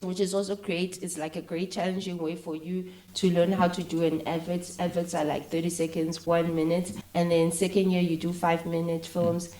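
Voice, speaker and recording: A woman's voice: on the low side, flat delivery, normal speed, hardly any pauses, dark tone, rough recording. A South African woman speaking as an interview guest, explaining things in a casual, conversational way and switching between English and local vernacular. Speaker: interview guest, actress and filmmaker.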